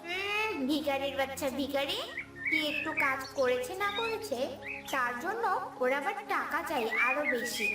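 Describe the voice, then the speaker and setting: A woman's voice: high voice, animated, normal speed. A woman voicing a stingy, bossy village auntie in a cartoon fairy tale, curt and scolding. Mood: scornful. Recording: roomy, distant, loud music bed.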